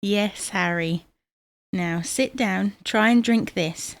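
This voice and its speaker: Actress doing fussy motherly wife in an audio sitcom, brisk n chiding, medium pitch, dry recording. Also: vocal fry, dry recording. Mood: firm, caring. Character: fussing, no-nonsense middle-aged wife of a babyish husband. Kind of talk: domestic audio comedy drama acting.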